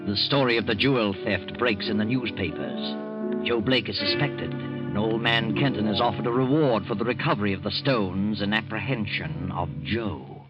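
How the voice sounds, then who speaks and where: Old time radio narrator guy, lowish voice, loud music behind, muffled. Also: normal speed. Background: loud instrumental music.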